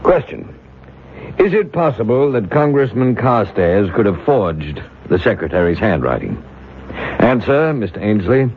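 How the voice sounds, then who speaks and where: Stern low-voiced committee chairman. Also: big pitch swings, a little slow, breathy edge, heavily acted. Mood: stern, pressing. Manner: formal, authoritative, pressing. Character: stern, formal male committee chairman and senator. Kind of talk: radio drama acting. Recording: tinny, noisy vintage radio recording.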